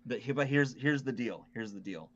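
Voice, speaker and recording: A man's voice: mid-range voice, normal speed, lo-fi sound, slight background noise. A man co-hosting a casual gaming podcast, chatting conversationally. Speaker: podcast co-host.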